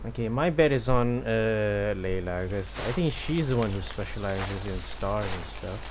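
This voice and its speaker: A man's voice: lowish voice, expressive, a little slow, noisy background. A man giving casual, hesitant gameplay commentary, thinking aloud. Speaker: game streamer. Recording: game music behind voice.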